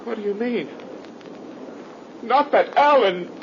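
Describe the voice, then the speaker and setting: An intense, expressive young man. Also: sits high, slow pace, full character voice. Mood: anguished. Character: earnest, anguished young man, a devoted brother. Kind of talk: 1930s radio horror acting. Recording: noisy, muffled 1930s radio recording.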